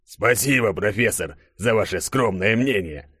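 A man's voice: on the low side, expressive, measured pace, fairly bright tone, husky, no room sound, clean studio sound, exaggerated voice. A man voicing a stern, irritable boss with a formal, commanding manner in an audiobook. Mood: curt.

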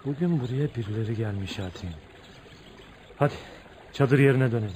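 A man's voice: medium pitch, fairly quick, a little mellow, slight background noise, lightly acted. An actor playing a brooding, jealous man of volatile temper in a dramatic, intense radio theatre style. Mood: suspicious. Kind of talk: Turkish radio theatre acting.

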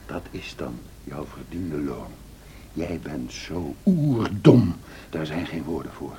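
An actor playing a brooding, vengeful, passionate lover in a Dutch radio drama, intense and theatrical, by turns scornful and pleading. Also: male voice, rumbling low, lively intonation, slow pace, dark tone, exaggerated voice. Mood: scornful, furious. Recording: noisy old radio play recording.

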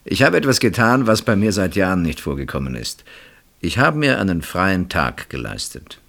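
An actor in a German radio play voicing a coolly ironic, probing husband with measured, drawn-out phrasing. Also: male voice, sits low, big pitch swings, vocal fry, a bit bright, heavily acted. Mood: controlled, measured.

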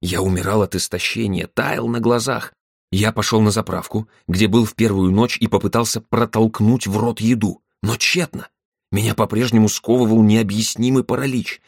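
A man narrating a literary first-person story in a measured, deliberate way, with dramatic pauses. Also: lowish voice, breathy edge, no echo, clean studio sound. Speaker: audiobook narrator.

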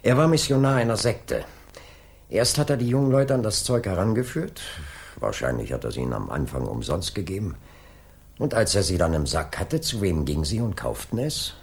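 Gravelly, commanding police inspector. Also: male voice, low voice, sing-song, a little fast, heavily acted. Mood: wry, decisive. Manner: commanding, dry, sardonic. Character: brisk, authoritative male police inspector leading a murder case. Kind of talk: 1980s German radio drama acting.

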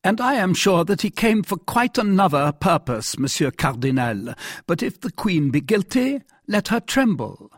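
A man's voice: sits high, very animated, moderate pace, no echo, clean studio sound, voice pushed up, full character voice. A man voicing an agitated, jealous king in an audiobook, imperious and suspicious, with heated, insistent delivery. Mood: angry, imperious. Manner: heated, insistent, indignant outbursts. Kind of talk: dramatised classic novel reading.

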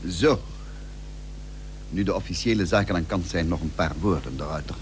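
An actor playing a courteous, persuasive statesman in a period radio drama, with formal, measured, confiding speech. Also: low-pitched, close to an everyday voice. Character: courteous, persuasive male statesman of the Dutch Republic. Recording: humming, noisy old radio recording.

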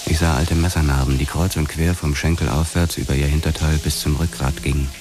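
An actor playing a brooding, terse young police detective who narrates a noir crime drama in a measured, sombre way. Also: male voice, a bass voice, fairly even intonation, over music. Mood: uneasy, restrained. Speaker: first-person narrator.

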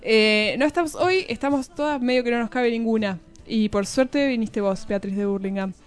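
An Argentine woman with a fairly high voice hosts a casual radio show. Her Rioplatense banter is ironic and joking, with faint music underneath. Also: moderate pace, clean tone. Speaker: radio host.

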